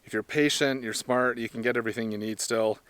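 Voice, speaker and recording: A man with a hoarse voice from smoke, talking casually to camera about gear he sells, rambling and correcting himself as he goes. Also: medium pitch, steady tone, quick pace, fairly bright tone, no room sound. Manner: rambling, self-correcting, plain-spoken. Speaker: firearms gear seller and trainer. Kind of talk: casual product talk to camera.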